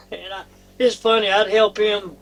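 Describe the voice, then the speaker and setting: High-voiced Southern American man, off mic. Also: steady tone, slow pace, fairly bright tone. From North Carolina and southeastern Kentucky. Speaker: guest, experienced sailor. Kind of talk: casual storytelling. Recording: distant mic with hum and noise.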